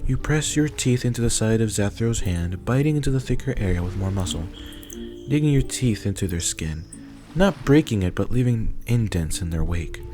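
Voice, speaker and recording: A man with a fairly low voice narrates an ASMR roleplay story in the second person. His scripted reading sits over a clearly audible music bed. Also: animated, normal speed.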